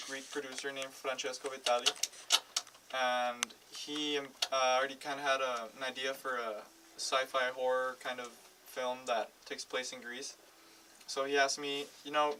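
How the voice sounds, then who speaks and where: A man who edits and directs films speaks in a flat, even tone as a talk show guest, often pausing on fillers. He sounds distant from the mic, with steady hiss behind him. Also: medium pitch. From United States. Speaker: film editor and director guest. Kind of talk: casual interview chat. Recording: distant mic with clear noise.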